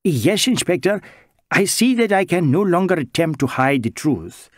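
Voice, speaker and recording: A man's voice: on the high side, big pitch swings, normal speed, dry recording, clean studio sound, voice pushed up. A man voicing an anxious, wordy middle-aged male social worker, pleading and flustered. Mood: relieved.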